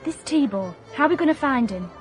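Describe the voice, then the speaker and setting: An actress playing a cheeky, eager young woman who assists a detective in a radio comedy drama, teasing and excitable. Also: high-pitched, brisk, faint music behind. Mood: excited.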